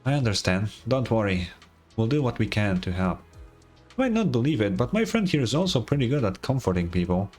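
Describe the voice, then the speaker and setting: A man playing a calm, reassuring young man in a game, easygoing and gently teasing in manner. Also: fairly low pitch, a little fast, soft background music.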